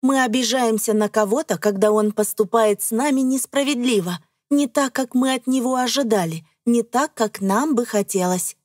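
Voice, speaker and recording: A woman's voice: on the high side, normal speed, few pauses, darkish tone, no echo, studio quality. A woman reading scripted narration for an educational children's cartoon, explaining things in simple phrases addressed to young listeners.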